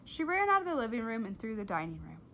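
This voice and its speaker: A woman reading a story aloud in an expressive, animated and deliberate way. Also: fairly high pitch, slight background noise. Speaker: storybook reader. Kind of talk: expressive read-aloud narration.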